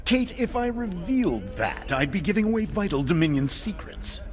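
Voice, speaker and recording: A slick, self-important TV propaganda anchor in a game. His delivery is animated and emphatic, slightly muffled, with faint music underneath. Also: high voice, moderate pace. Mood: smug. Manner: pompous, emphatic. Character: pompous, slick male propaganda TV news anchor. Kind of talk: bombastic news broadcast.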